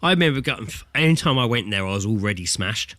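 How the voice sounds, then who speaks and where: British male, podcast co-host. Bright, punchy voice, dry recording, casual jokey banter with swearing. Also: fairly low pitch, expressive, fast talker, no room sound. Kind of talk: casual comedic podcast chat. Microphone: remote internet call recording.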